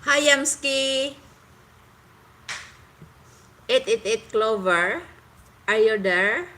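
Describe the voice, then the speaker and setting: Filipina woman in slow, halting English. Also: a little high, pushed and bright, a little hiss or hum. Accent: Filipino, Visayan. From Philippines. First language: Cebuano. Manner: apologetic, halting English, searching for words. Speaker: live stream host. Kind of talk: casual live stream chat with viewers. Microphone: phone.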